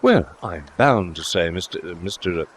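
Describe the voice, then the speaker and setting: A man voicing a jaunty, effusive old gentleman in an audiobook story. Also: deep voice, animated, normal speed, slight background noise. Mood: flustered, grateful. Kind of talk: dramatised audiobook dialogue.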